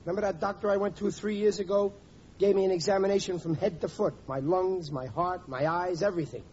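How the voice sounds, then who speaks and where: An actor playing a grumbling, stingy working-class husband of about forty, with blustery slangy talk, in old-time radio comedy. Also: male voice, high voice, steady tone, fairly quick, darkish tone, close to an everyday voice. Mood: indignant. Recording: dull, noisy vintage radio recording.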